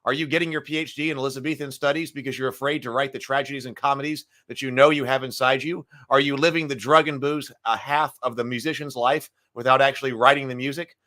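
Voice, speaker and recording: A middle-aged man hosting a casual morning live stream, his voice gravelly today, clearing his throat often and thinking aloud with fillers. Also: mid-range voice, fairly even intonation, fast talker, hardly any pauses, sharp tone.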